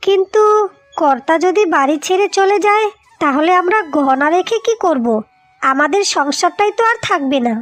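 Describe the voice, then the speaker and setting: A woman voicing an earnest, practical wife in scripted cartoon dialogue, speaking deliberately. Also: very high voice, expressive. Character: determined, practical young Bengali wives plotting to save home.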